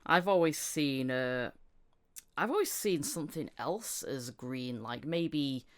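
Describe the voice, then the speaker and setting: A woman's voice: a bass voice, expressive, slow. A woman hosting a podcast in a casual, chatty interview style. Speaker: podcast host, voice actor.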